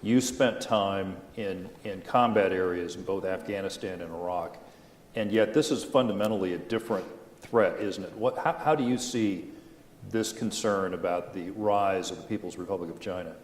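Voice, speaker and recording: A man moderating a panel, asking measured, formal questions. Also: sits low. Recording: echoey hall with long reverb.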